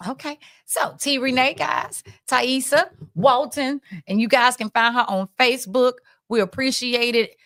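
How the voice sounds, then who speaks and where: A woman's voice: high voice, measured pace, few pauses, fairly bright tone, no echo, studio quality. American woman hosting, emphatic and informal. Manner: emphatic, informal, addresses audience as guys. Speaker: talk show host. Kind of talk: casual community talk show.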